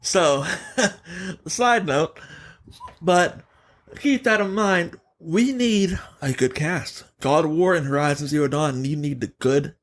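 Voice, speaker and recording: A man hosting a podcast, chatting casually and giving opinions in an animated, emphatic way. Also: fairly high pitch, slow. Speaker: podcast host.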